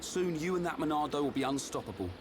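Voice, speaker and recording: A man's voice: a little high, lively intonation, fast talker, noisy background, natural voice. A man playing a cocky, loyal young fighter in a video game. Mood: encouraging. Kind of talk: video game cutscene acting.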